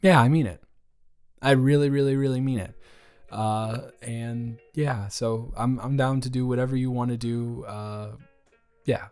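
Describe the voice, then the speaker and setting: A man's voice: medium pitch, fairly even intonation, a little slow, darkish tone, clear, resonant tone, lightly acted. A young man playing a shy, eager, awkward college dormmate in a romantic audio roleplay, talking in a hesitant, stammering ramble. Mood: shy, earnest. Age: young adult. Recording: music playing behind the voice.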